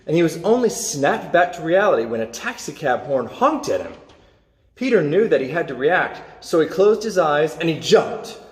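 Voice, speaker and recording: Expressive male story reader in echoey room. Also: on the high side. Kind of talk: reading a children's superhero story aloud.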